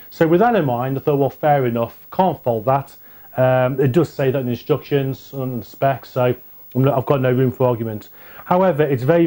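A man's voice: mid-range voice, mellow. A man in his forties with a British accent, giving a casual product review to camera in a home office. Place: small home office indoors.

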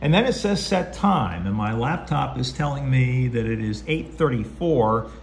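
Man, mid-pitched voice, casual step-by-step tutorial, folksy, clear background noise. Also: expressive, normal speed. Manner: folksy, talks himself through each step. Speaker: presenter of how-to video. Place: indoors at home, beside a tabletop radio. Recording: clearly noisy home recording with clicks.

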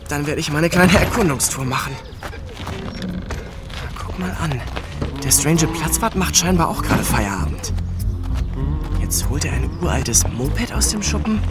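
An actor playing a worried, determined teenage musician in a children's audio drama, talking in casual youthful slang. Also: male voice, fairly high pitch.

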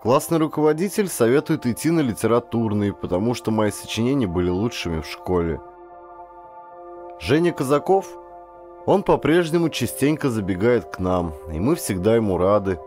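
A man reading first-person literary fiction as an audiobook, with a dramatic, deliberate storytelling delivery. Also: medium pitch, big pitch swings, a little mellow, soft background music. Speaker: audiobook narrator, actor.

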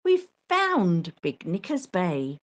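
A woman voicing a bold, excitable storybook pirate captain, calling out in loud exclamations. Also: lowish voice, takes it slowly, highs cut off, heavily acted. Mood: triumphant.

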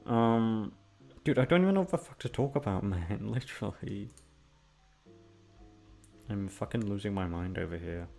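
Low, creaky-voiced man rambling casually. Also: expressive, normal speed, pauses often, darkish tone, soft background music. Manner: aimless, self-deprecating, heavy casual swearing. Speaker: voice actor and channel host. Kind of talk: casual rambling outro chat.

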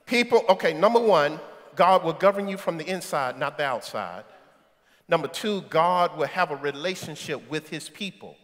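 A middle-aged man preaching a sermon in a large church sanctuary on a headset mic, shouting at the peaks and tossing quips at the congregation. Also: on the high side, expressive, moderate pace. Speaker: pastor. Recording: echoey sanctuary with congregation and organ. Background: congregation and soft music.

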